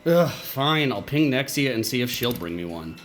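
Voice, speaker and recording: A woman's voice: a bass voice, very animated, normal speed, faint music behind. A woman playing a grudging, impatient circus crew member in an audio drama.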